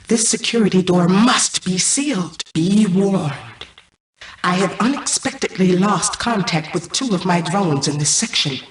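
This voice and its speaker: A woman's voice: medium pitch, reverberant, far from the mic, rough recording. A woman voicing a cold, imperious female mainframe AI in a video game, speaking formally and precisely, with a synthetic computer effect. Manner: formal, precise, haughty machine-like announcements. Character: cold, imperious female mainframe AI, menacing antagonist turned uneasy ally. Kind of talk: scripted video game character lines.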